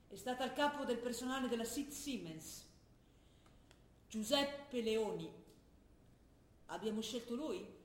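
A woman acting a young revolutionary who writes earnest letters to her father, speaking intensely and sometimes dropping to a hush, in a roomy stage recording. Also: on the high side, slight background noise, natural voice. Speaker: stage actor. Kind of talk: theatre drama broadcast on radio.

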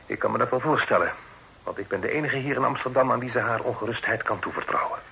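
Courteous, bright-voiced earnest gentleman. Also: mid-range voice, fast talker, gravelly, poor audio. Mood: earnest, defensive. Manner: polite, formal, explanatory. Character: courteous, earnest young gentleman, eager to explain. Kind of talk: period radio drama acting. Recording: hissy old radio play recording.

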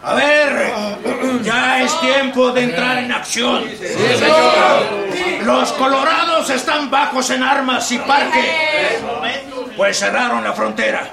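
An actor playing a haughty, scheming Mexican army general in a radio drama, with a creaky voice and a curt, authoritative, drawn-out delivery. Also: male voice, very high voice, a loud crowd, exaggerated voice. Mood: commanding. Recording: music behind the voice.

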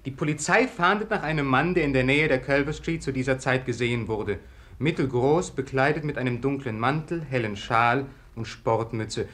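A man's voice: mid-range voice, normal speed, fairly bright tone, natural voice. An actor playing an effusive, eccentric young man with a childlike, theatrical streak in a 1950s German radio play. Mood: eager, curious. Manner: effusive, gushing, impish. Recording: noisy 1950s radio archive recording.

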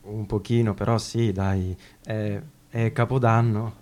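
Slow-spoken affable man, played by an actor. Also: lowish voice, level tone, darkish tone, lightly acted. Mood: polite, affable. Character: polite affable male dinner guest. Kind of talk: naturalistic radio play acting.

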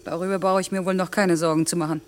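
A woman's voice: fairly low pitch, level tone, fast talker, a little mellow, close to an everyday voice. An actress playing a determined, outspoken young working mother and factory worker in a German radio play. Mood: firm. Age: young adult. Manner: assertive, outspoken.

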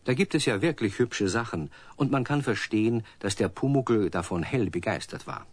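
A man's voice: on the low side, animated, normal speed, husky, dry recording. A man narrating a children's radio play as a storyteller, addressing young listeners in a friendly way. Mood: explaining.

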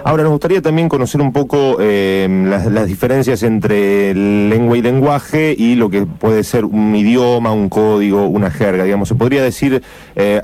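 A man's voice: on the low side, warm tone. A man hosting a radio interview, friendly and affirming, searching for words as he poses questions. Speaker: radio host.

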